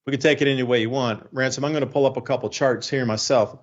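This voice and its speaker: A man hosts a talk-radio show, speaking off the cuff in an informal way. His voice sits at an average pitch, and the audio is a little dull and lo-fi. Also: steady tone, quick pace.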